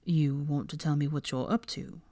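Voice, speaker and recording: A woman voicing a cool, concerned young man in an audiobook, quiet and restrained, with a drawl. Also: low-pitched, steady tone, normal speed, no echo, clean studio sound, voice pushed down. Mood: quietly apprehensive.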